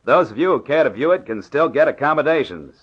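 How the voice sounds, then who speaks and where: A man's voice: a little high, lively intonation, fast talker, husky. An actor playing a smug, crooked nightclub gambling boss in an old radio drama, with slangy tough-guy talk. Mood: formal announcing. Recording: dull old radio recording.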